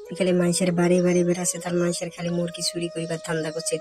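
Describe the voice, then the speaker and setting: A woman's voice: on the low side, monotone, racing, over music, lightly acted. A woman playing a nagging, exasperated village woman in a cartoon, with a northern Bangladeshi accent. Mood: scolding. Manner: nagging, complaining. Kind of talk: Bengali village cartoon voice acting.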